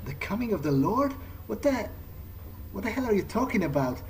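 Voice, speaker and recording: A man's voice: high-pitched, very animated, moderate pace, a little mellow, a little hiss or hum. A man improvising in character as he plays a video game, talking hesitantly to himself and swearing freely. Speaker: let's player. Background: game soundtrack music.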